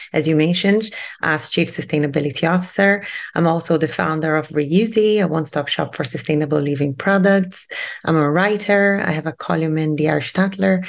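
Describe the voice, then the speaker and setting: A woman with a very gravelly, creaky voice that sits a little low. She chats openly as a podcast guest, telling stories and filling her sentences with easy asides. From Brazil. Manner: chatty and storytelling, with fillers like "you know" and "whatnot". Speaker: guest, sustainability strategist. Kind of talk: conversational podcast interview.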